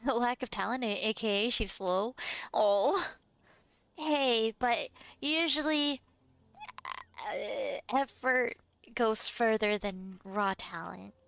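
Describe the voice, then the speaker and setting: A woman's voice: a little high, slow pace, vocal fry, fairly bright tone. A woman streaming a game, making joking, wry comments and laughing often. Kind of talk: casual reactions over gameplay. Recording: with game music behind.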